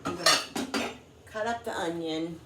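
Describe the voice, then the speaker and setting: A woman's voice: lowish voice, unhurried, very noisy. A middle-aged woman with a cold, chatting casually and rambling with many fillers while she cooks in her kitchen. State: congested with a cold. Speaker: cooking vlogger. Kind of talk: casual chat while cooking. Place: home kitchen.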